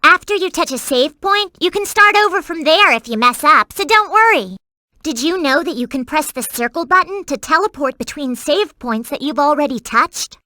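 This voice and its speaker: A woman's voice: high voice, animated, moderate pace, bright, forceful tone, dry recording, studio quality. A woman voicing a perky, earnest and bossy young girl companion in a video game, with emphatic delivery. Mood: helpful, curious. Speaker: in-game hint-giving companion.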